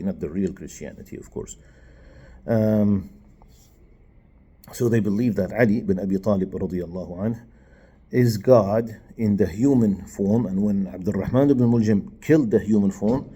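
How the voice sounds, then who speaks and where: A man who grew up in Syria, giving an informal religious class, explaining with many fillers and restarts and letting his voice trail off quietly at the ends of phrases. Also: fairly low pitch, slightly rough. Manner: switches into recited Arabic phrases. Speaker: religious teacher. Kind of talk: informal recorded class lecture.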